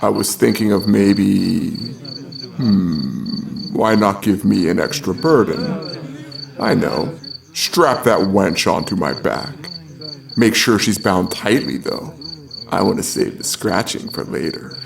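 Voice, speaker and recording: A swaggering fantasy swordsman, sly and theatrical. He speaks slowly in a gravelly, very animated mid-range voice, with a crowd and crickets behind him. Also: low voice, heavily acted. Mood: sly, taunting. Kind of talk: fantasy audio drama acting.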